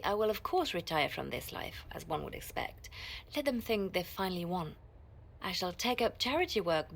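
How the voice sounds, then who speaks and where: A poised, calculating spymaster in a fantasy game. Her voice sits on the low side and she speaks with measured, melancholy gravity. She sounds like a middle-aged woman who weighs every word. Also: vocal fry, fairly bright tone, breathy edge, exaggerated voice. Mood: quietly scheming. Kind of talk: dramatic video game dialogue.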